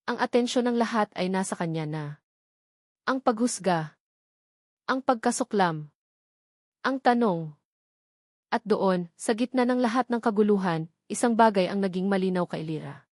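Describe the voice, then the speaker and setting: A woman's voice: mid-range voice, moderate pace, long pauses, no echo, studio quality. A woman narrating a scripted melodramatic story in a suspenseful, emphatic manner.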